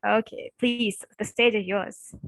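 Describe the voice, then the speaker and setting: A woman's voice: medium pitch, fairly even intonation, a little slow, creaky, no room sound, low-quality recording. A woman moderating an academic conference session, courteous and formal as she thanks and introduces speakers.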